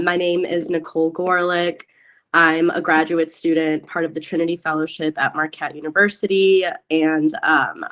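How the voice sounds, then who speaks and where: Low-voiced young woman, earnest panelist. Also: a bit bright. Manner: earnest, with frequent "um"s. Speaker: panelist, graduate student. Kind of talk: panel discussion.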